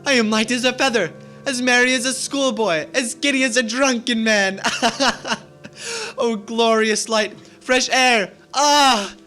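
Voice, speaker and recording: A reformed old miser in a radio play, high bright voice, theatrical and stammery. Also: male voice, a little slow, faint music behind, full character voice. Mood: overjoyed, giddy. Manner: exclaiming, stammering with glee and gasps. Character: giddy reformed old miser, gleeful elderly Englishman. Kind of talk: theatrical radio drama acting.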